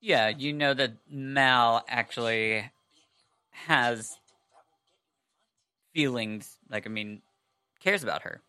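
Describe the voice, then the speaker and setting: A woman co-hosting a fan podcast, chatting casually and giggling, her voice a little husky. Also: a bass voice, slow pace, long pauses, bright, forceful tone, dry recording. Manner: giggly, chatty, lots of "like" and "I mean". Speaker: podcast co-host.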